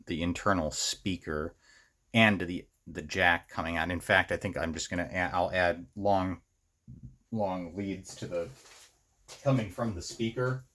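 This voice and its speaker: Slow, low-voiced man thinking out loud. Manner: musing, thinking out loud in fragments. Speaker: host of a DIY circuit bending video series. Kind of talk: unscripted hands-on tutorial commentary while working.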